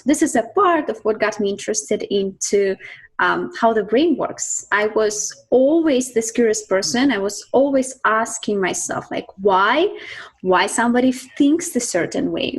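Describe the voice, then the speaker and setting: A woman from Siberia, a guest talking reflectively about her life and work, often pausing with ums and restarts. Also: fairly high pitch, expressive, slightly rough, poor audio. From Russia (Siberia). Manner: reflective, thinks aloud with many ums and restarts. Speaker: guest, mindset coach. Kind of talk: casual interview talk.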